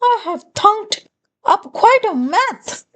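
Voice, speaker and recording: A woman's voice: very high voice, very animated, slow, fairly bright tone, pitched above the performer's own voice, heavily acted. A woman voicing a panicky little girl who exclaims shrilly, in a storybook reading. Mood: alarmed.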